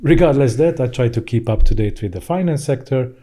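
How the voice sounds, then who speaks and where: A man's voice: medium pitch, expressive, brisk, mellow. A man in his thirties with a Hungarian accent, telling his story conversationally as a podcast guest in a studio. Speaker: podcast guest, financial services professional.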